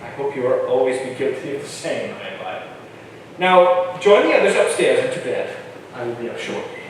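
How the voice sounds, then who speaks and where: A man's voice: mid-range voice, big pitch swings, moderate pace, a little hiss or hum, close to an everyday voice. A man playing a principled, earnest colonial father in a staged reading, recorded from far off in an echoey hall. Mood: gentle, earnest. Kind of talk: staged reading of a historical drama. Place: live stage in a reverberant hall.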